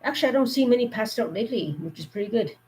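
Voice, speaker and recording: A woman with a cold, her voice going hoarse, giving earnest safety warnings in a rambling, casual live stream. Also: a little high, animated, racing, darkish tone, low-quality recording.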